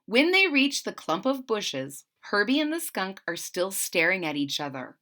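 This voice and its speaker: Female storybook reader: slow, bright, lively intonation, expressive read-aloud for young children. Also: mid-range voice. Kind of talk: expressive read-aloud narration with retelling asides.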